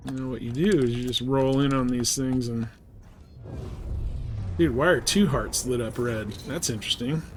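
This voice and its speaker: A man's voice: mid-range voice, fairly even intonation, normal speed, slight background noise. A man giving casual, laid-back live gameplay commentary, thinking aloud as he plays. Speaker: game streamer.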